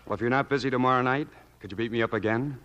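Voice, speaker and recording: A man's voice: mid-range voice, steady tone, rapid-fire, lo-fi sound, close to an everyday voice. A man playing a bashful, good-natured boy next door in 1940s radio style, hesitant and wry. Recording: old radio recording, loud music.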